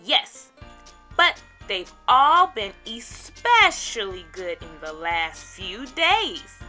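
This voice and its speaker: Little old male elf, played by a woman: anxious storybook helper, bright, slow and faltering. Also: medium pitch, sing-song, faint music behind, exaggerated voice. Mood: hesitant.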